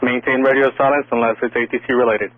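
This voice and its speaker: A man's voice: medium pitch, flat delivery, quick pace, no echo, narrow, tinny audio. A male air traffic controller on the radio, giving clipped instructions and rebukes in a firm, curt manner.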